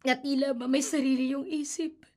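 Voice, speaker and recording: A high-pitched woman's voice, an anguished mother pleading and confessing in an emotional rush, as an actress plays her. It is a studio radio drama performance. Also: little pitch movement, moderate pace, a little mellow, lightly acted. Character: desperate grieving mother.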